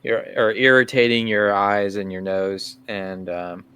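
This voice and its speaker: A man working as game master, improvising scene narration for a tabletop role-playing game in a halting, thinking-aloud way. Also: lowish voice, pushed and bright. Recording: clear background room noise.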